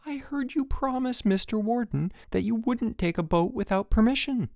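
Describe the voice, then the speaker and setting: A hot-tempered young camp counselor, voiced by a man reading a storybook, who bellows orders with his voice jumping high. The recording is dry and close, with a gravelly edge. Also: lively intonation, moderate pace, dry recording, full character voice. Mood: stern. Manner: shouting, bellowing.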